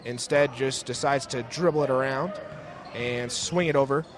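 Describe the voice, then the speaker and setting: A young American man calling high school basketball as a live radio play-by-play announcer. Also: moderate pace. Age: young adult. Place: indoor high school gym, courtside. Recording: noisy gym ambience. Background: bouncing basketballs, gym noise.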